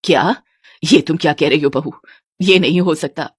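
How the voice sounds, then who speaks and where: A woman's voice: sits low, moderate pace, husky, no room sound. A woman voicing a stern, domineering older mother-in-law with a commanding manner. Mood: shocked.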